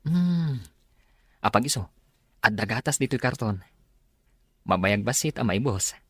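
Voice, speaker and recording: A man's voice: mid-range voice, animated, measured pace, a bit bright, husky, no echo, studio quality. A man playing a kind, sincere suitor in a radio drama. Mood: friendly. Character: kind, earnest male suitor, generous and sincere.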